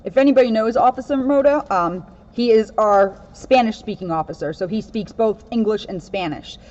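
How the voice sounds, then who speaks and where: A woman's voice: moderate pace, a little mellow. A woman police officer reading aloud and explaining things informally for children. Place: in and around a police car. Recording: muffled, low-grade audio with faint noise.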